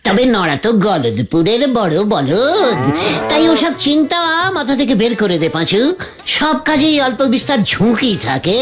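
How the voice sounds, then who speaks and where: Cocky trickster uncle for comic cartoon, woman performing, bossy, animated, high-voiced. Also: moderate pace. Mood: scheming. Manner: bossy, sly, theatrical. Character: cocky, scheming male trickster uncle, leader of youngsters.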